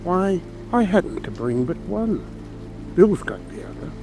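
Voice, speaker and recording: A man's voice: sits high, big pitch swings, unhurried, gravelly, heavily acted. A man voicing a servile, reluctant animal servant with a folksy manner in a dramatic audiobook. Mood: plain, stubborn. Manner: deferential, folksy. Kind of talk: dramatic audiobook character acting. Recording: music and rain ambience behind.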